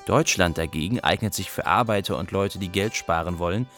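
A man's voice: low-pitched, fairly quick, a bit bright, soft background music. A man playing an observant, matter-of-fact young refugee who narrates a radio play.

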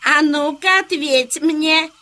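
Slow, bright, know-it-all frog. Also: female voice, high-pitched, full character voice. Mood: smug, challenging. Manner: didactic, challenging. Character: know-it-all female frog, smug and teasing. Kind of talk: children's audio play acting.

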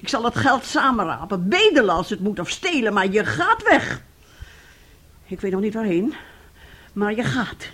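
An actress playing a domineering, bitter middle-aged mother in a radio play, husky and sharp-tongued. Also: medium pitch, big pitch swings, normal speed. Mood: determined, accusing. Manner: scolding, sharp-tongued, self-righteous.

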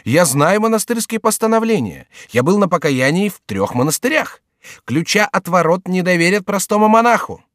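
Man as a sly teasing jester, raised pitch, swooping excitable delivery, mock-indignant, audiobook character. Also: normal speed, no echo, clean studio sound. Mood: surprised, probing. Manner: excitable, exaggerated exclamations. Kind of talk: dramatised audiobook character acting.

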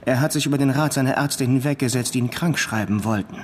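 An actor playing a polite, concerned university colleague in a radio drama, formal, earnest and a little hesitant. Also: male voice, medium pitch, quick pace, a little hiss or hum, close to an everyday voice. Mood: concerned, discreet. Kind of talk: naturalistic radio drama dialogue.

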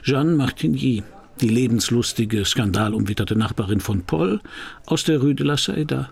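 Dry wry detective narrator, creaky voice, middling pitch, radio krimi w music. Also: male voice, lively intonation, normal speed, a little mellow. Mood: narrating, wry. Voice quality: gravelly, creaky. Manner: dry, laconic, probing. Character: wry, probing male private detective, first-person narrator. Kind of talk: German radio crime drama, noir narration and dialogue.

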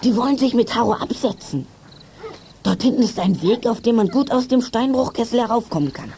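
A female actor playing an excitable, exclamatory young member of a detective gang in a children's radio play. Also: on the high side, a little fast. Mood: alert. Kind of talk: 1980s German children's radio play acting. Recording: clear noise and clicks behind.

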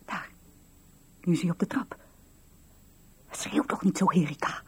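A woman's voice: fairly low pitch, measured pace, in a whisper. An actress playing an intense, emotional young wife and mother in a 1970s radio drama. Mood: anxious, hushed. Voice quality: very breathy, husky.

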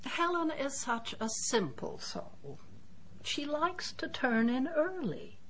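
A woman's voice: high voice, big pitch swings, slow, fairly bright tone, exaggerated voice. An actress playing a fussy, snobbish middle-aged society mother in a radio drama, with an imperious, disapproving, clucking manner. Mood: dismissive. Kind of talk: BBC radio drama acting.

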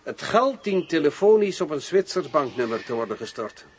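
An actor playing a wary, suave general in a radio drama, speaking in a theatrical, insinuating way. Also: male voice, on the high side, big pitch swings, moderate pace. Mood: cunning, businesslike. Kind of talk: Dutch radio drama acting. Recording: noisy old radio drama recording.

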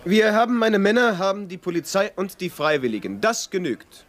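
A curt army captain in a radio play. His voice is rather high and varies in pitch, yet the manner stays formal and clipped. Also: lively intonation, normal speed. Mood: formal firmness. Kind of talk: radio drama acting.

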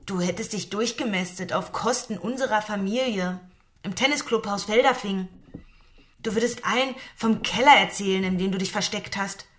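A woman's voice: medium pitch, normal speed, a bit bright, lightly acted. An actress playing an insistent, reproachful nineteen-year-old woman in a radio drama. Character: reproachful nineteen-year-old woman, practical, pressing her older lover.

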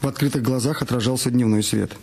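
A man's voice: medium pitch, steady tone, fast, vocal fry, darkish tone, husky. A man reading a detective story as the narrator of a scripted radio production. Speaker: narrator of an audio drama. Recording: music under the voice. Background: background music.

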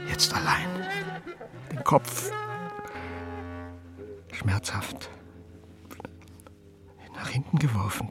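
A tormented, bitter editor murmurs a radio-play monologue in a deep, creaky voice. His phrases halt and hush, then turn suddenly emphatic. Music plays clearly behind him. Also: full character voice. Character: brooding, bitter middle-aged male newspaper editor, tormented and curt. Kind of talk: literary German radio play, interior monologue.

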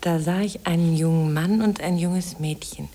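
Woman acting a husky, yearning wounded lover. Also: on the low side, fairly even intonation, normal speed, mellow, natural voice. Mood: wistful. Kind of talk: 1950s German radio drama, poetic. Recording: old radio play on cassette tape.

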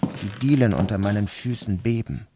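A man giving a measured, subdued first-person narration of a novel. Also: fairly low pitch, background noise.

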